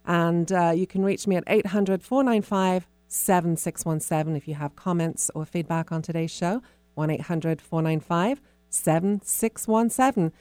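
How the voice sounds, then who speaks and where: A woman presents a radio show in a slightly low voice. The sound is close and dry, as a host addressing guests and listeners. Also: moderate pace, darkish tone, no echo, faint music behind. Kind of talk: radio interview and sign-off.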